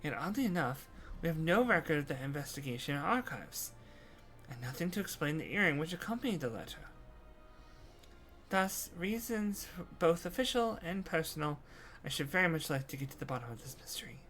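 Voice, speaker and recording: A man's voice: on the high side, sing-song, a little fast, fairly bright tone, soft background music. Man voicing an articulate, earnest fantasy scholar. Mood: curious, formal. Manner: formal, articulate. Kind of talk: scripted game dialogue read-through.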